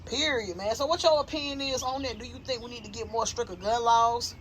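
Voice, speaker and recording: A woman in her thirties with a Southern US accent hosts a loose sit-down chat. She talks in an animated, slangy way and makes strong opinions plain. A light hiss of background noise sits under her voice. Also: on the high side, a little fast. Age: 30s. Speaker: interview host. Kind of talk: casual interview chat.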